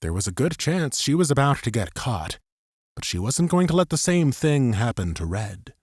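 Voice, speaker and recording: A man's voice: mid-range voice, sing-song, normal speed, darkish tone, slightly rough, dry recording, studio quality. A man narrating a scripted third-person story as an audiobook narrator.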